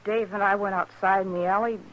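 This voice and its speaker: A woman's voice: medium pitch, fairly even intonation, fairly quick, highs cut off, lightly acted. A woman playing a frightened, hesitant young frontier saloon woman, plain-spoken and subdued, in 1950s radio western style. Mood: nervous.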